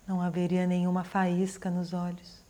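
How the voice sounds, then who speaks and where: A woman interpreting consecutively, with an even, steady, unemotional delivery. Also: on the low side, moderate pace.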